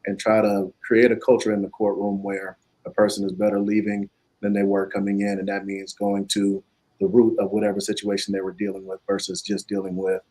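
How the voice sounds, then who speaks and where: Man, judicial candidate, flat steady delivery, husky low voice. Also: fairly quick, low-quality recording. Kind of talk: candidate statement. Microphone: video call.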